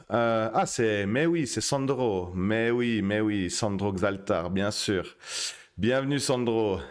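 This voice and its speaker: A man hosting a casual poker live stream, talking with many fillers and restarts. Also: mid-range voice. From Switzerland.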